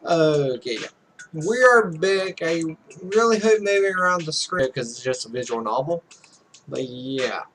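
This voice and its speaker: A man with a fairly high voice hosts a casual gaming video. He rambles in offhand, muttered asides as he works through a visual novel. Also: expressive, unhurried, fairly bright tone, slight background noise. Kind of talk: casual let's play, reading visual novel text aloud.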